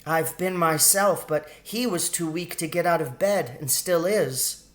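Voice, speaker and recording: A man voicing a gentle, worried mother mouse in a storybook, speaking politely and anxiously. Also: fairly high pitch, measured pace, pitched above the performer's own voice, close to an everyday voice. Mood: anxious, sad. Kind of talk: storybook character voice in an audiobook reading.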